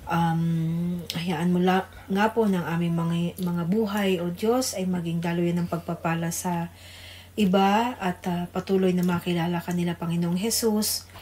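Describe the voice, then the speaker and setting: A woman's voice: lowish voice, steady tone, measured pace, darkish tone, a little hiss or hum. A woman with a Filipino accent co-hosting a devotional radio program, reading scripture and praying aloud. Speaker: co-host, pastor's wife leading scripture and prayer. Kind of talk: scripture reading and extempore prayer.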